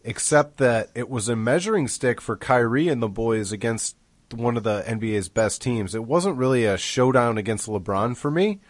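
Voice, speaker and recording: A man hosting a casual sports podcast, his voice deepened as he recovers from an illness, talking in a rambling conversational way. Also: normal speed, dry recording. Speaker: podcast host.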